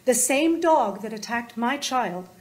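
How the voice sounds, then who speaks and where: A woman's voice: fairly high pitch, animated, a little slow. A woman reading a prepared statement as a member of the public at an official meeting. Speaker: mother addressing county commission. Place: commission chamber. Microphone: podium microphone.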